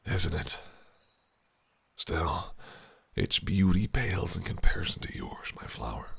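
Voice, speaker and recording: A man's voice: sits low, very animated, measured pace, creaky, fairly bright tone, slightly rough, full character voice. A man voicing a possessive, cunning fae prince in an audio roleplay, speaking in a silky, teasing, menacing way. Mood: charming. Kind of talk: listener-directed audio roleplay.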